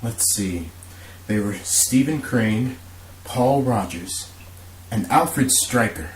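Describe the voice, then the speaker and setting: A man playing an authoritative, brisk police commissioner in an amateur radio drama. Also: on the low side, expressive. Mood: businesslike. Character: authoritative middle-aged police commissioner, steady, businesslike. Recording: roomy echo, faint hiss.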